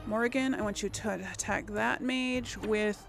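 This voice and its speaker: A woman's voice: on the high side, fairly even intonation, normal speed, creaky, faint music behind. A woman giving casual let's play commentary, hesitantly thinking aloud as she plays. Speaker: gaming video host.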